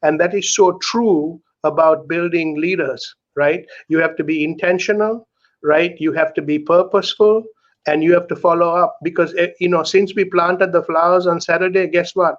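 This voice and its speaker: A man's voice: on the high side, fairly even intonation, normal speed, muffled recording. A middle-aged man from Sri Lanka talking earnestly as a guest, often checking in with "right?", heard over a video call. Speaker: author and guest. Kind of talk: candid conversational interview talk.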